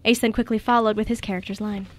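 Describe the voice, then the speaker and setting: A woman's voice: medium pitch, little pitch movement, fast talker. A woman reading scripted story narration as the narrator of a radio drama.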